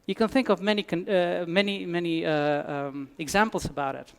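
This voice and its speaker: A man's voice: sits high, fairly even intonation, normal speed, creaky, clear, resonant tone. A man from Albania, giving a conference talk with dry humor and frequent restarts. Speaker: conference speaker. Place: conference hall.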